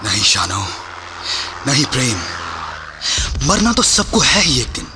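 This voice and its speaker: An actor playing an impassioned captured freedom fighter in a radio play, speaking emphatically with rhetorical pauses. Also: male voice, mid-range voice, big pitch swings, noisy background. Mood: urgent, reasoning. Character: captured Indian freedom-army soldier, impassioned, shrewd, weary. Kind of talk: Hindi radio play acting.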